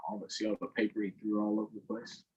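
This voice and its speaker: A man hosting a storytime for children, reading aloud and chatting directly to young viewers in an expressive way. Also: lowish voice, fast, highs cut off, noisy background. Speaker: storytime host, councilmember. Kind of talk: children's read-aloud and live chat.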